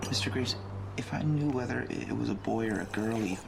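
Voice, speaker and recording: A man's voice: mid-range voice, a little fast, vocal fry, heavy background noise. An actor playing a troubled, insecure young man in a film, with a meek, hesitant manner. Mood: anxious, wounded. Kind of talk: film dialogue acting.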